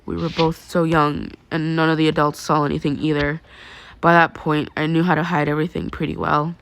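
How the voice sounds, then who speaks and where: Woman as a low, subdued teenage boy. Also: a little fast, a little hiss or hum. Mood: flat, reassuring. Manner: flat, quiet, hesitant sincerity. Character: subdued, awkward teenage boy, stoic and sincere.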